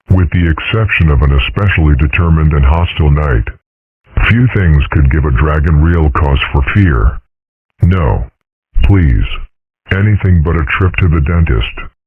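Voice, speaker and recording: A man's voice: extremely low pitch, breathy edge, dry recording. A man voicing a pompous, timid dragon father in a comic dub, speaking in a formal, grandiose, wordy way. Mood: anxious. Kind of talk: comic strip dub, character acting. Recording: muffled, dull, dry audio.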